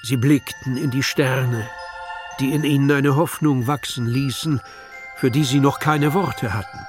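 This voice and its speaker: A male narrator of a children's radio play. He reads at a slow pace in a mid-range voice, with faint chiming music underneath. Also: mid-range voice, fairly even intonation.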